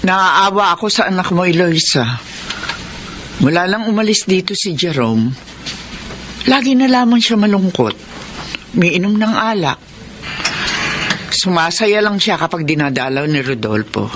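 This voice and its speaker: A woman's voice: lowish voice, expressive, measured pace, pauses often, background noise. A woman playing a timid, kindly older caretaker in a radio drama, meek and deferential. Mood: worried.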